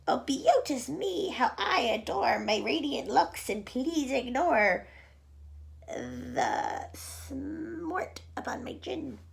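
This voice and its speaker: A woman's voice: high voice, sing-song, slow pace, vocal fry, exaggerated voice. A woman voicing a spiteful old aunt in a storybook reading, sneering and snappish.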